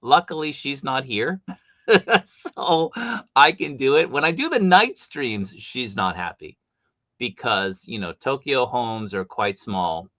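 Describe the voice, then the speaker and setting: A man hosting a live stream from home, chatty and animated, with a big voice and coming down with a cold. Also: mid-range voice, takes it slowly, no room sound, highs cut off. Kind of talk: casual live stream chat.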